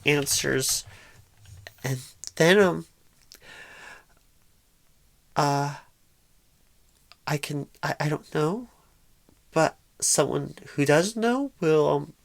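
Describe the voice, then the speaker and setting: A woman's voice: sits low, slow, creaky, pauses often, dry recording, exaggerated voice. A woman playing a shy, nervous, inexperienced job recruiter in an ASMR roleplay, stammering and hesitating awkwardly. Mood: unsure, hesitant.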